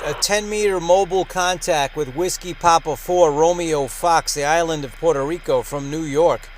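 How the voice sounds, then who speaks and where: A man's voice: on the high side, slight background noise. A man hosting a ham radio channel in an upbeat, emphatic announcer-like way. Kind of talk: upbeat vlog presenting.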